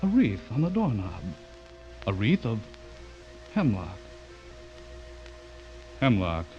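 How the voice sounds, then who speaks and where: A polite, secretive bachelor in a radio thriller. His low voice moves expressively and carries a guarded, troubled air. The sound is dull and lo-fi, with music underneath. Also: wildly swooping pitch, slow pace, exaggerated voice. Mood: brooding, troubled. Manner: polite, guarded, brooding when narrating. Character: courteous, uneasy older bachelor writer suspected of being a vampire. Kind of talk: radio drama acting with first-person narration.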